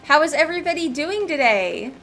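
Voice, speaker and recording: A woman's voice: high voice, animated, fairly quick, fairly bright tone. A woman game streamer chatting casually and playfully with her live audience in an informal way. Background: game music.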